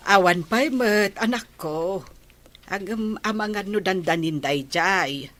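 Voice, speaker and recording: A woman voicing a gentle, elderly grandmother who speaks in a soft, reassuring manner in a radio drama. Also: medium pitch, takes it slowly. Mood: fond, soothing. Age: older.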